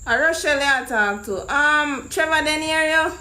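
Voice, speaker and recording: A man's voice: very high voice, normal speed, sharp tone, exaggerated voice. A man voicing an indignant, pushy young Jamaican woman on a prank phone call, demanding answers and swearing. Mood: insistent.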